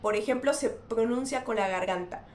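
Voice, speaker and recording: A woman linguist hosting a scripted educational explainer, speaking clearly and spelling out examples. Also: a little high, normal speed, a little mellow, reverberant, a little hiss or hum. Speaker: linguist and channel host.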